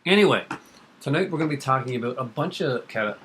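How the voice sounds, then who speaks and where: A man's voice: medium pitch, lively intonation, fast, a bit bright. A middle-aged man hosting a casual video chat, with a rambling, opinionated way of talking. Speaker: host of a video channel. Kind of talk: casual chat about comics. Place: kitchen at home. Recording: faint background noise.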